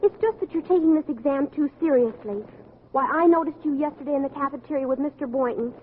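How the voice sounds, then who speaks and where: A woman's voice: sits high, fast talker, close to an everyday voice. An actress playing an earnest, chatty, meddling teenage schoolgirl in a vintage radio sitcom. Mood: earnest, gushing. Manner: gushing, earnest, tactlessly frank. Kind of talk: 1940s-50s radio sitcom acting. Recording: narrow vintage radio recording, audience, hiss.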